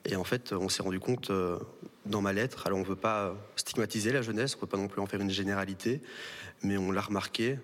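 A man's voice: on the low side, fairly quick, a bit bright. A young man speaking as a panel guest, hesitant and full of fillers. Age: young adult. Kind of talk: public panel talk. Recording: long hall reverb.

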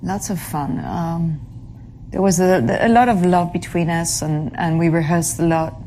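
A woman with a notably low voice answers interview questions in a reflective, halting way. She pauses, reaches for words and fills the gaps with small hesitations. A faint hiss sits under her voice. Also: steady tone, warm tone. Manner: halting, searches for English words, many fillers. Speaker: actress being interviewed.

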